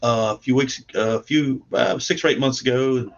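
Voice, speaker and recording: A man speaking as a guest in a casual interview, in a halting, plain-spoken way. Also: medium pitch, muffled recording. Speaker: guest comic book creator.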